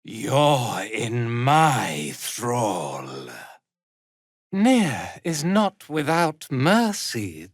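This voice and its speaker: An arrogant male zealot in command of a dark fantasy cult. His delivery is theatrical and contemptuous, swooping widely in pitch and taking its time. The recording is close and dry, as in a video game. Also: slightly rough, no room sound, exaggerated voice.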